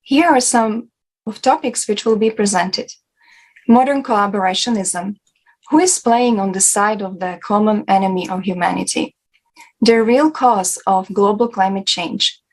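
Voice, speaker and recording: Woman cohost doing a scripted presenter read for an online panel, medium pitch. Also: normal speed, low-quality recording. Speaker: co-host of an international online discussion.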